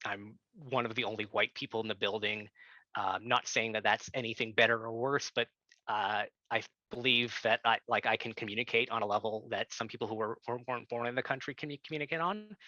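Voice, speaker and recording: A young man from Canada, a tenant organizer, speaking on an online workshop call in an earnest, halting way with many fillers and restarts. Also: on the low side, pushed and bright, no echo. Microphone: computer mic on a video call. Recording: dull, slightly lo-fi video call audio.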